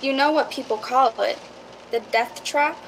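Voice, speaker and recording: A woman's voice: high-pitched, unhurried, a little hiss or hum, poor audio. A woman playing a chatty, folksy property seller in a radio drama, eager and persuasive. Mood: eager, reassuring. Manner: eager, reassuring, folksy salesmanship.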